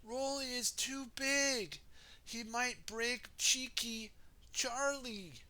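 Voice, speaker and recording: A man plays a fretful storybook lady, pitched high and bright. She pleads and repeats herself, anxiously protective of her precious garden. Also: drawn-out words, exaggerated voice. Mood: alarmed pleading.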